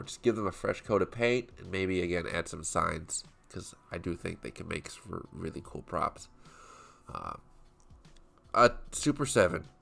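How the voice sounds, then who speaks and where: A man's voice: low-pitched, vocal fry, pushed and bright. A young man with a stuffed-up nose chatting casually while arranging toys for photos at his desk, rambling and correcting himself as he goes. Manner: rambling, self-correcting, offhand. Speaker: toy photography YouTuber. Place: home desk with small photo set.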